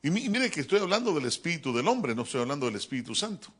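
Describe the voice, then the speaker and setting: A male pastor delivering a sermon in a church, talking to his congregation in an animated, joking way. His voice sits a little high and moves constantly up and down. It sounds bright and forceful throughout. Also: racing, slightly rough. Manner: animated, joking, keeps calling listeners "hermano".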